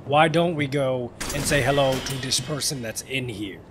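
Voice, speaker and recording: A man's voice: mid-range voice, normal speed, background noise. A man giving jokey, profane let's play commentary, talking back to the game characters. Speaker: gamer. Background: game music.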